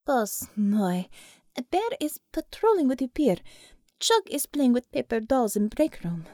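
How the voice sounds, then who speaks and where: Woman doing a deadpan dispatcher, lively pitch. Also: a little slow, no room sound, clean studio sound. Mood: matter-of-fact. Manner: clipped broken English, matter-of-fact. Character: deadpan, obliging Russian comrade on radio dispatch.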